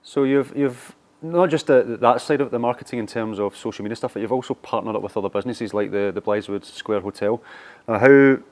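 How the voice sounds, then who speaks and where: A man's voice: medium pitch, fairly quick, darkish tone. A man asking questions in a conversational interview, acting as the interviewer.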